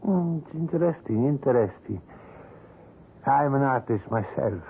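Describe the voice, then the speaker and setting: Husky, courteous, mysterious sculptor. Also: male voice, medium pitch, expressive, unhurried, exaggerated voice. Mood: polite, evasive. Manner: hesitant, formal, precise, insinuating. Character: mysterious, courteous, sly male portrait sculptor. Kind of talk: 1970s radio drama acting. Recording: muffled, narrow vintage radio broadcast.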